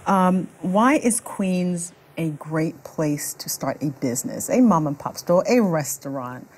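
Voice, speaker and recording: Low-voiced woman radio interviewer. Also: expressive, a little slow, darkish tone, husky. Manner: encouraging, frequent affirmations. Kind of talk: interview questions and backchannel.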